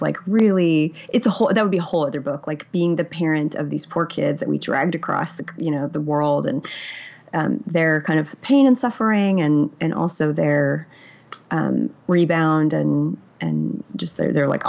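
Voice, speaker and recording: A woman's voice: low-pitched, animated, moderate pace, vocal fry, a little mellow. A woman in her forties, a memoir author, talking candidly in an interview, reflective and hesitant with many fillers and restarts. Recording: dull, lo-fi audio.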